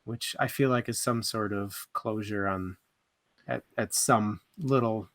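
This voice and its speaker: A man's voice: lowish voice, unhurried, a bit bright. A man taking part in a casual livestream book chat, speaking informally and a bit hesitantly as he gives opinions. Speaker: book club panelist.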